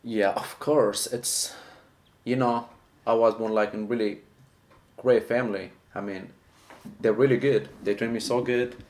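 A man's voice: medium pitch, steady tone, measured pace, a little mellow. A man, a podcast guest giving friendly, agreeable answers. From Syria. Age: 20s. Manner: friendly, agreeable, short replies. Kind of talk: casual interview chat.

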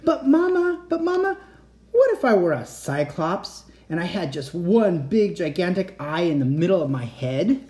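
A small boy from a bedtime storybook, curious and insistent, his voice lifted high and swinging up and down as he pleads his questions, put on by a man. The tone stays soft and mellow underneath. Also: very animated, normal speed. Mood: pleading.

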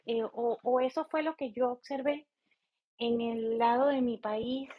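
A Venezuelan woman hosts a podcast in a fairly high voice. She speaks very slowly and haltingly, thinking aloud in an informal, reflective monologue. The sound is slightly dull. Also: fairly even intonation, slightly rough. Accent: Venezuelan Spanish. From eastern Venezuela. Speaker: podcast host.

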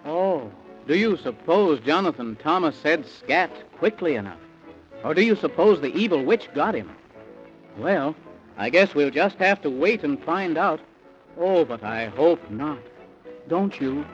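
A man's voice: a little high, expressive, measured pace, long pauses, faint music behind. A man announcing a 1930s children's radio serial, asking theatrical, suspenseful questions of the listeners. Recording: muffled 1930s radio recording.

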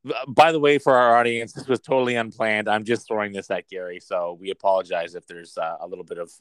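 A man's voice: fairly low pitch, fairly even intonation, fast talker, fairly bright tone, clear, resonant tone, poor audio. A man co-hosting a casual podcast chat, joking around and slipping into a mock commercial-announcer voice. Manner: playful, slips into a mock ad-announcer voice. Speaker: podcast co-host.

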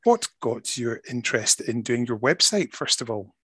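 A man's voice: mid-range voice, very animated, moderate pace, a bit bright, husky, no room sound. A man hosting a podcast, upbeat and asking his guest short questions. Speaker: podcast host. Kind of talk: friendly interview hosting.

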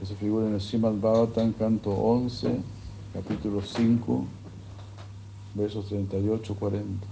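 A man giving a sermon, retelling a scripture story in a slow, measured way. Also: on the low side, flat delivery, vocal fry, warm tone, dull audio, slight background noise. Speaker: religious speaker.

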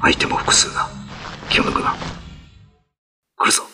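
A man's voice: normal speed, loud music behind the voice, natural voice. Man playing a commanding, grave old-time Ryukyuan lord. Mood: urgent, commanding. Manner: dignified, commanding, old-fashioned speech. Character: renowned Ryukyuan lord, grave veteran demon slayer. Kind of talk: Japanese radio drama acting.

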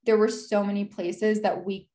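Young woman, podcast guest, candid, casual chat, filler-heavy, reflective, mid pitch. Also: little pitch movement, normal speed, dark tone. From United States. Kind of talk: candid conversational interview.

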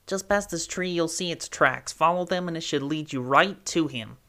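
Cheerful, encouraging storybook bee, done by the narrator, eager to please. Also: male voice, a little high, moderate pace, bright, forceful tone. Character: friendly eager male bee.

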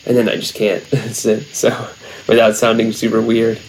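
A man's voice: lowish voice, completely flat delivery, normal speed, a little mellow, rough recording. Young guy rambling along in casual chat, lots of likes and ums, bit of hiss behind. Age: young adult. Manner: rambling, many fillers and restarts.

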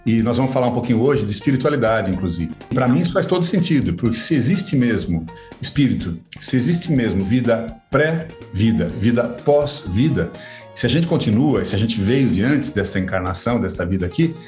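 A man's voice: mid-range voice, sing-song, a little fast, darkish tone, soft background music. A man, explaining things conversationally as a guest, chatty and colloquial with frequent tag questions. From Sorocaba, São Paulo state, Brazil. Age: 50s. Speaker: podcast guest.

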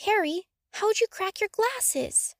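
A woman's voice: extremely high pitch, animated, slow, no echo, clean studio sound, voice pushed up, full character voice. A woman voicing a curious, friendly and helpful schoolgirl in a storybook reading. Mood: concerned, helpful.

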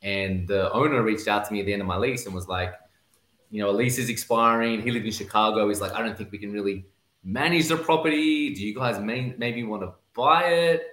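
A man's voice: on the low side, a little fast, a bit bright, roomy sound. A man with an Australian accent, a podcast guest telling his story casually with lots of fillers and restarts. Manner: rambling, many "you know" fillers and restarts. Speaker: podcast guest, real estate investor.

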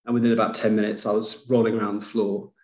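A man's voice: fairly low pitch, flat delivery, fast, a little mellow. Chatty self-deprecating man, roomy sound. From Liverpool, England. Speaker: podcast guest, GP. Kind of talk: casual conversation. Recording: roomy echo.

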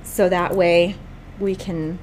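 A woman's voice: fairly low pitch, flat delivery, slow, noisy background. A woman hosting a spiritual podcast, bubbly and effusive, laughing often. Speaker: podcast host. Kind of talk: casual chat.

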